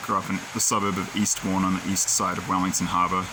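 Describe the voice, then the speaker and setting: A man's voice: low-pitched, little pitch movement, fast talker, background noise. A man talking casually to his own camera in a rambling, digressive, opinionated way. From New Zealand. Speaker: video creator. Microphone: camera he films himself with.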